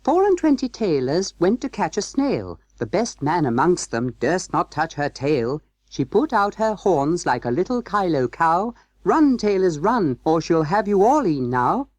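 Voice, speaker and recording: A storybook narrator voicing tiny, mischievous mice in a small, shrill, sing-song voice. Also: very animated, a little slow, few pauses, a little mellow, no room sound. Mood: merry, gaily chanting. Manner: sing-song chanting of rhymes.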